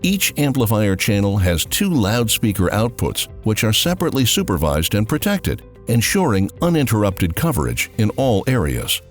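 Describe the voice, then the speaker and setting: A man's voice: lowish voice, big pitch swings, moderate pace, hardly any pauses. A man reading scripted narration for a corporate promotional video. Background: music.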